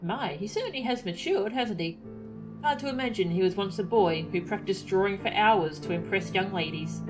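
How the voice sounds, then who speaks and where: A woman's voice: medium pitch, expressive, normal speed, over music, natural voice. A woman voicing a refined lady in a fantasy game with a dry, polite, teasing manner. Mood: wry, teasing.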